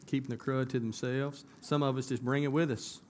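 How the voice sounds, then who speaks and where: Hoarse pastor, folksy. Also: male voice, medium pitch, fairly even intonation, fairly quick, darkish tone, slight background noise. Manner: folksy, conversational, rising to emphatic. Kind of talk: casual church announcements and sermon. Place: church sanctuary.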